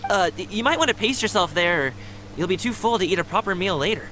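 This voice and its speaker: A woman voicing a friendly, energetic and chatty young female guard in a video game, with a casual, considerate way of talking. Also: mid-range voice, fast, very noisy, natural voice. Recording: game music underneath.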